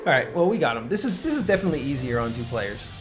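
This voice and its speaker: A man's voice: mid-range voice, big pitch swings, very fast, poor audio. A man giving casual, explanatory commentary on a co-op video game, feeling his way through his sentences. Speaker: gaming video host. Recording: game music behind the voice. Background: game music.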